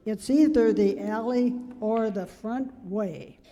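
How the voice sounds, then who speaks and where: A woman's voice: a little high, slow, warm tone. A woman playing a worried, evasive pool hall owner with wary, slangy talk in a 1930s-style radio drama. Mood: anxious. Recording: reverberant hall stage recording.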